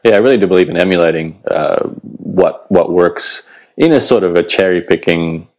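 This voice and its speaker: A man from Australia with a Canadian-sounding accent, hosting a podcast interview in a relaxed, thoughtful way with brief fillers. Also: deep voice, normal speed, rough recording. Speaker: podcast host. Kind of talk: casual interview wrap-up.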